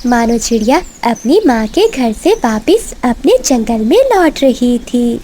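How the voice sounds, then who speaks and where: A woman tells a cartoon fable as a scripted narrator. Her voice is high but soft-edged, and she takes the storybook lines slowly. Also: very animated, a little hiss or hum.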